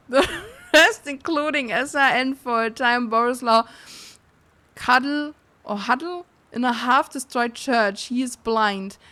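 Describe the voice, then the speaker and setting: Slow-paced, higher-voiced woman streamer reading aloud. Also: sharp tone. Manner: giggly, breaking into laughter while reading. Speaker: live streamer playing a video game. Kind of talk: reading game text aloud on a livestream.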